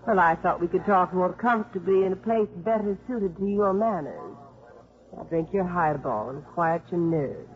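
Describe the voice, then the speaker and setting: An actress playing a composed, firm female official in a 1950s radio drama, speaking in a measured, pointed, well-spoken way. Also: lowish voice, natural voice. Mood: firm, reproving. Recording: muffled vintage 1950s radio with faint music.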